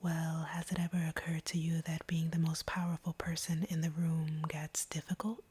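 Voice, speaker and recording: A woman voicing a conflicted female vampire in an intimate audio roleplay, speaking to her hunter in a hushed, deliberate, earnest whisper. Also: sits low, flat delivery. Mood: wounded, resentful. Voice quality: breathy, whispery voice.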